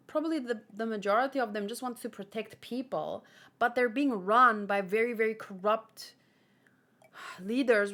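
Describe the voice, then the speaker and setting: Woman, fairly high voice, casual host chat, informal, rambling, thinking aloud. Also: a bit bright. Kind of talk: casual chat about manga.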